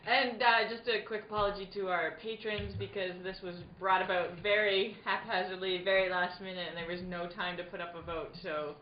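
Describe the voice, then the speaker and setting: A woman's voice: mid-range voice, steady tone, brisk, few pauses, a little hiss or hum. A woman co-hosting with casual, chatty banter. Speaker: co-host. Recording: echoey, dull room recording.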